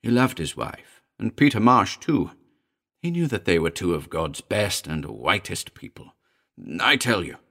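A man's voice: low-pitched, big pitch swings, unhurried, creaky, bright, forceful tone, exaggerated voice. A man voicing an agitated, commanding male character in a dramatic audiobook, fervent and emphatic. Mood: impassioned, vehement. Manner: fervent, emphatic, urgent pleading.

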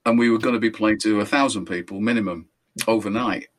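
An older man, a musician being interviewed, telling rambling anecdotes in a relaxed way and correcting himself as he goes. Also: fast talker. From England. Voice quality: high voice. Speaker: musician guest.

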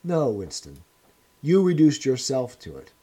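A man voicing a cold, menacing male interrogator who speaks in a measured, commanding way. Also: mid-range voice, huge pitch swings, mellow, husky, heavily acted.

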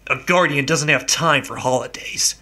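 A man playing a grumpy, gruff, easily riled guardian creature in a radio play. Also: mid-range voice, moderate pace. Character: grumpy, hot-headed male guardian creature. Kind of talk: scripted radio play acting. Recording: loud music behind the voice.

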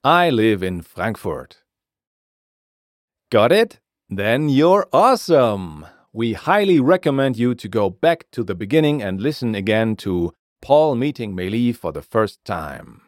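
Male podcast teacher for scripted lessons: slow, deliberate delivery, lively rise and fall in a lowish voice. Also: no echo, studio quality. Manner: clear, deliberate, repeats phrases for learners. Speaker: language teacher and podcast host.

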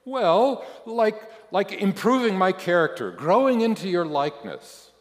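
Guy doing a flustered churchgoer pleading his case, stammery and defensive, pitch jumping all over, big echoey room. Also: wildly swooping pitch, takes it slowly. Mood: impatient, defensive. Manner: stammering, protesting, eager to justify himself. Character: flustered, defensive believer arguing with God after death. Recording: echoey hall, long reverb.

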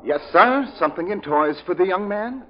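A man's voice: sits high, expressive, normal speed. A man acting a fussy, formally polite toy-shop clerk in an old radio comedy. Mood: politely puzzled. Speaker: actor in a radio comedy-mystery. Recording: muffled vintage radio recording with music.

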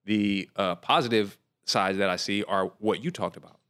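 A man in a casual discussion, thinking aloud in a reflective, measured way as he makes his points. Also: fairly low pitch, fairly bright tone, clean tone.

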